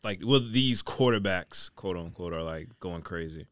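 A man's voice: low-pitched, expressive, moderate pace, no room sound. A man chatting casually about sports in a laid-back, slangy way.

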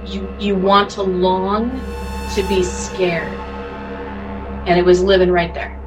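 A woman talking casually on a panel, phrasing her points with deliberate emphasis. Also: medium pitch, level tone. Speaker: audio drama producer and panelist. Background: music.

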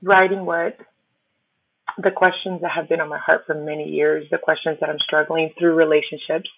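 A woman's voice: deep voice, little pitch movement, vocal fry, slightly rough, no room sound. Woman on a tinny line. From Miami, USA. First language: Spanish. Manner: reflective, laughs often, halting with fillers. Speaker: podcast guest. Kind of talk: conversational interview.

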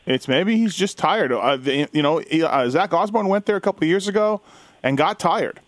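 Male podcast host, higher-pitched, loose joking banter about racing, recorded dry and close. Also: expressive, moderate pace, dry recording. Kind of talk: casual sports banter.

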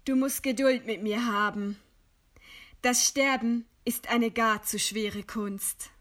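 An actress playing a weary, bitterly ironic woman facing execution, with deliberate dramatic delivery in a German radio play. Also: on the high side, steady tone, fairly bright tone. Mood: weary, resigned.

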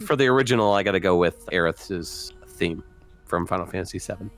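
A man's voice: sits low, a little fast. A man from Connecticut co-hosting a podcast, upbeat and joking, saying "like" a lot. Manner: upbeat, jokey, "like" often. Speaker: podcast co-host. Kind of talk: casual podcast chat.

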